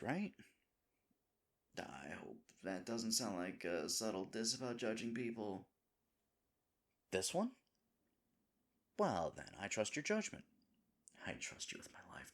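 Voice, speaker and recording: A man's voice: low voice, heavily acted. A man playing a lovable, nervous himbo boyfriend in an intimate audio roleplay, earnest and rambling. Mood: fond, playful, then anxious whispering. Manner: earnest, rambling, hesitant, dropping into breathy asides.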